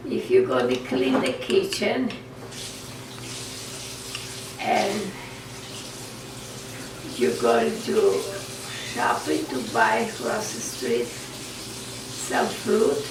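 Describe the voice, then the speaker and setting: Older woman, Croatian accent, gravelly high voice, far from the mic, loud kitchen noise. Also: lively intonation, slow, long pauses. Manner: teasing, broken English. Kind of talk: casual chat at home. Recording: roomy room from a distance with loud clatter.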